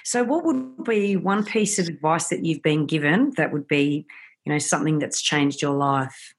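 Low-voiced woman podcast host. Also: normal speed, breathy edge. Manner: affirming, rambling questions with fillers. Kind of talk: casual interview chat.

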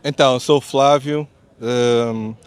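A man from Angola introducing himself calmly in an interview, with hesitant fillers. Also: medium pitch, slow pace, clean tone. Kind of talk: interview answer.

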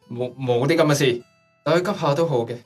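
A suave young older brother with a very low voice and a Hong Kong Cantonese accent. The delivery is theatrical radio drama, a little away from the microphone over music. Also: female voice, moderate pace, husky, far from the mic. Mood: casual, scheming.

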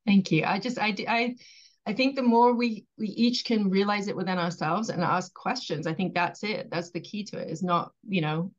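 A woman's voice: mid-range voice, steady tone, normal speed. A woman hosting a podcast, chatting casually and supportively with her guest over dull, band-limited audio. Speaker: podcast host.

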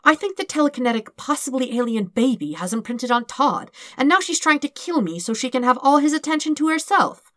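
A woman reading fan fiction aloud, voicing an excitable, dramatic man who is flustered and earnest. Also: sits high, fairly quick, dry recording, studio quality, pitched above the performer's own voice. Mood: frantic, insistent. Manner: theatrical, emphatic, swinging between alarm and sheepishness.

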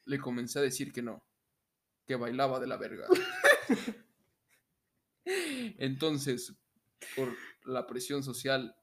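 A man's voice: mid-range voice, very animated, slow, pauses often. A young man with a Mexican accent co-hosting a podcast in casual comedic chat and story reading, jokey and prone to breaking into laughter. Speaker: podcast co-host.

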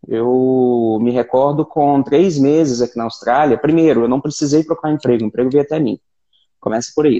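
A man's voice: mid-range voice, dark tone. A Brazilian man chatting casually on a panel, often stumbling and repeating words as he talks. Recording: dull lo-fi sound.

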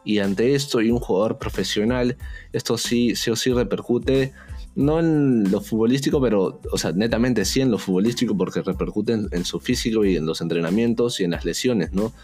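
A man's voice: on the low side, moderate pace, a little mellow, breathy edge, soft background music. A man from Peru co-hosting a football talk show, adding points with a thoughtful, hesitant delivery and many fillers. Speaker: sports show co-host. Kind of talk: casual sports talk commentary.

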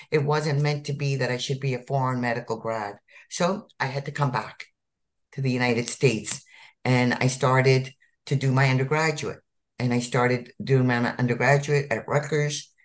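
Earnest woman physician telling her life story. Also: rumbling low. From India. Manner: earnest, repeats words for emphasis. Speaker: physician guest. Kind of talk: interview storytelling.

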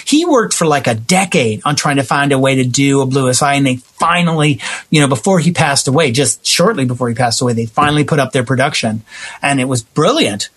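A man's voice: medium pitch, a little fast, fairly bright tone, breathy edge. A man speaking as a guest playwright and actor in a conversational interview, emphatic and given to acting out remembered exchanges.

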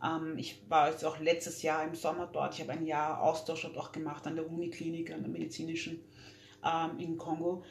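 A woman's voice: low-pitched, completely flat delivery, moderate pace, creaky. Woman, young adult, interview guest, earnest, echoey room, faint hum. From Austria. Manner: earnest, frequent fillers and restarts. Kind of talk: casual interview talk.

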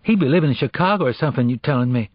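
A man voicing a blunt, street-smart teenage girl in an audiobook, slangy and challenging, quick with questions. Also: medium pitch, lively intonation, no room sound, lo-fi sound, voice pushed up, close to an everyday voice. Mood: probing.

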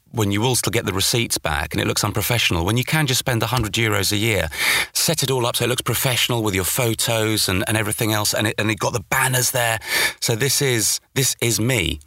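A man's voice: fairly low pitch, sharp tone, no room sound. A middle-aged British man giving conversational, emphatic interview answers and repeating words to make his point. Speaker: interview guest, audio journalist and voice-over artist.

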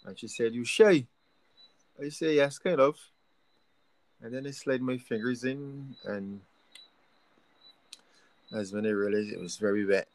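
A man from Barbados with a Barbadian accent, a guest telling stories in a relaxed, shy, soft-spoken way. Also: medium pitch, measured pace, pauses often, a little hiss or hum. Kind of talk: casual podcast chat.

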